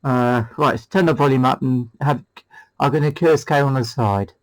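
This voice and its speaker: A man's voice: mid-range voice, moderate pace, a little hiss or hum, rough recording. A British man hosting a CB radio demo, chatting casually in a hesitant, rambling way. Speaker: CB radio hobbyist and channel host.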